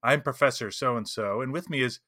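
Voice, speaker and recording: A man's voice: medium pitch, moderate pace, pushed and bright, no room sound, studio quality. A man co-hosting a podcast, telling small anecdotes in casual conversation. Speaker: podcast co-host.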